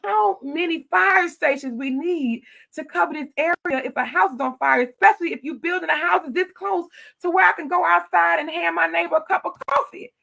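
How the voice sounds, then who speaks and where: A middle-aged woman, a county commissioner telling stories in an animated, loud voice. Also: extremely high pitch, brisk, creaky, low-quality recording. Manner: animated storytelling, acting out her own quoted replies. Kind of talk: casual interview talk.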